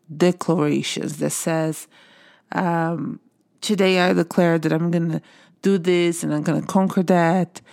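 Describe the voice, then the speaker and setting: A middle-aged Brazilian woman speaks English with her native Portuguese accent, in an earnest motivational talk to viewers that leans on repeated phrases. Her voice sits a little low and dark, with a gravelly fry, and she speaks slowly. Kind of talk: encouraging monologue to viewers.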